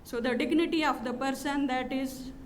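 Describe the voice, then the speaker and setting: A woman giving a formal conference address from a podium, measured and pausing often with fillers. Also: high voice, steady tone. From Pakistan. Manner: measured, with frequent pauses and fillers. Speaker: conference speaker from an NGO. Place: hotel conference hall. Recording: large hall reverb, faint noise.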